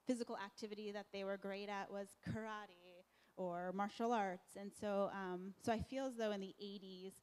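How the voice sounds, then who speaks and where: A woman's voice: mid-range voice, fairly even intonation, normal speed, darkish tone, clean tone. A young woman answering questions on a discussion panel, talking with lots of fillers and often laughing as she tells her story. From Japan and New Jersey. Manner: laughs often while recounting, many fillers. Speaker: panelist filmmaker.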